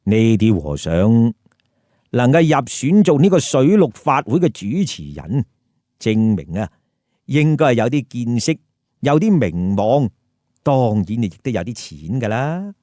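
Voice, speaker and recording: Guy telling funny stories, theatrical, really swingy pitch, unhurried, dry close sound. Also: big pitch swings, dark tone, no echo. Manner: theatrical, rhetorical, colloquial. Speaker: storyteller and host. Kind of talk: humorous narrated storytelling with commentary.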